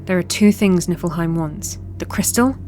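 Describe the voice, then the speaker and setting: Woman doing war-weary young princess, lowish voice, formal and determined, fantasy audio drama, faint music. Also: warm tone, natural voice. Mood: commanding. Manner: measured, formal, earnest.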